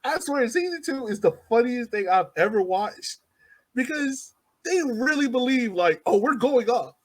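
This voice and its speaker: High voiced guy podcast chat, super expressive pitch, emphatic, repeats himself to make a point. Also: a little slow. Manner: emphatic, repeats phrases for effect. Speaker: soccer podcast co-host. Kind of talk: casual chat.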